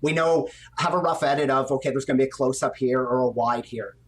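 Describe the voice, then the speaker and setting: A man's voice: medium pitch, brisk. A middle-aged man, an animation director, explaining his work conversationally in an interview and acting out little quotes as he goes. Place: indoor room on a video call.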